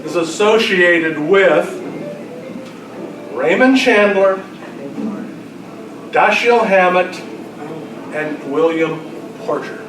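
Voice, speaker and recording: Guy hosting a trivia quiz, kinda high voice, jokey and teasing, echoey noisy room. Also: very slow, long pauses. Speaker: quiz master. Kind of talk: reading trivia questions to a live group with casual banter. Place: large indoor room at a club luncheon. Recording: roomy, noisy hall, far from mic. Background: room noise from a gathered group.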